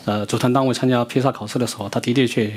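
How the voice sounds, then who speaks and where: A man speaks quickly in a lecture room, his voice slightly husky. He answers in short bursts and stops so an interpreter can follow. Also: mid-range voice, fairly even intonation, darkish tone. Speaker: visiting education expert answering questions. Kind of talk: answering a question through an interpreter.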